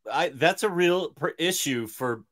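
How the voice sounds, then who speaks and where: Playful male guest, slightly higher voice, self-mocking banter, video call. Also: animated, a little slow, a bit bright. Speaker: guest panelist. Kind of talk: casual panel chat.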